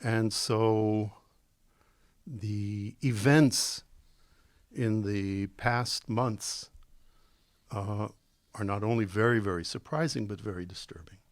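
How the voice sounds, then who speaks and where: Older man, low gravelly dry voice. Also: dry recording. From Israel. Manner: deliberate, slow on key words, with ums. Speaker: guest professor. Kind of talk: measured interview answers. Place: studio. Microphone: studio microphone.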